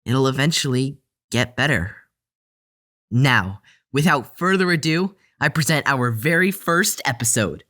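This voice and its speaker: A man's voice: medium pitch, expressive, unhurried, sharp tone. Earnest man giving a scripted podcast introduction. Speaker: show creator and head writer.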